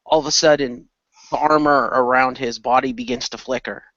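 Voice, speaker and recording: A man's voice: medium pitch, fairly even intonation, normal speed, fairly bright tone, no echo, lo-fi sound. A man narrating action in an amateur radio play as one of its performers.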